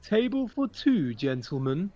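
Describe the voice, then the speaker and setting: Polite fussy formal waiter guy male actor, wartime audio play, slow talker, highish voice. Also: sing-song, mellow, dull audio. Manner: courteous, formal, measured. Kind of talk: audio drama acting.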